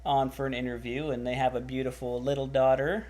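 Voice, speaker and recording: A man's voice: mid-range voice, level tone, fairly quick, a little mellow. A man hosting a livestreamed church broadcast, upbeat and enthusiastic as he interviews a guest. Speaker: church host.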